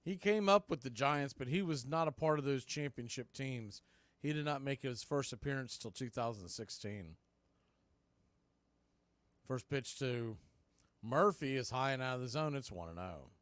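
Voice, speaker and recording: A man's voice: mid-range voice, animated, normal speed, fairly bright tone, no echo. A man giving live baseball play-by-play as announcer and host, speaking in clipped phrases with brief asides.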